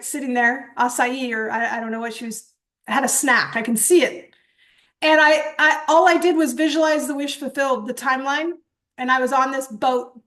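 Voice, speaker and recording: Woman host of an online coaching class, high-pitched and excitable, rambling with fillers and false starts. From born in Japan, grew up in England. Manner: animated, rambling storytelling with frequent fillers and restarts. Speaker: host and coach presenting a certification course. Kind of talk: enthusiastic webinar presentation.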